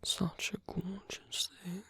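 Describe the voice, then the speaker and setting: A suave masquerade villain, acted out by a performer for a romantic ASMR roleplay, speaks in a husky, breathy near-whisper. The delivery is slow and teasing, with lingering pauses and insinuation. It is recorded very close and dry. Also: medium pitch, little pitch movement, bright, forceful tone, dry recording, full character voice. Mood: flirtatious, coy. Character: suave flirtatious villain at a royal masquerade ball. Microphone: close dry microphone.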